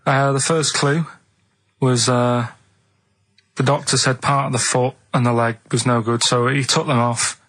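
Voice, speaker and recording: A man reading out quiz clues and chatting casually on a radio show, in a halting, matter-of-fact way. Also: mid-range voice, level tone, a bit bright. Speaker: radio show regular running a quiz feature. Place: radio studio.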